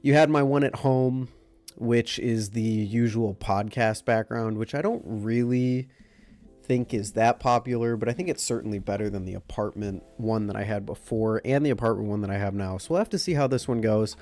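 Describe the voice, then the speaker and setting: A man's voice: fairly low pitch, moderate pace, soft background music. A young man with a stuffy, nasal voice from a cold, chatting casually with live viewers as a stream host. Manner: relaxed, greeting chat by name. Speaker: livestream host.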